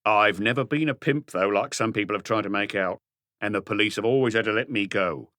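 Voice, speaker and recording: A man's voice: fairly low pitch, fairly quick, pushed and bright, dry recording. Male narrator as evasive crook in his thirties, defensive, slippery manner, detective audiobook, close dry sound. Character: shifty thirty-five-year-old man, small-time crook, defensive and evasive.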